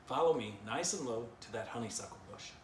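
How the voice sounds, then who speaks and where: A man's voice: medium pitch, expressive, fairly quick, lightly acted. A man voicing a sensible, patient male dog who leads a storybook pack, speaking in a firm, soothing, composed way. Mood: firm, calm. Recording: roomy echo, clear background noise.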